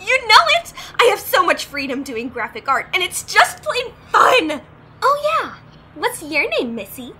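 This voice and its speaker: Hyperactive, squeaky-high bubbly girl. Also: huge pitch swings, measured pace, bright, forceful tone, exaggerated voice. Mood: cheerful, chatty. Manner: gushing, giggly, squealing exclamations. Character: hyperactive bubbly colorful young woman graphic artist. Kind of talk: anime-style fan audio drama acting.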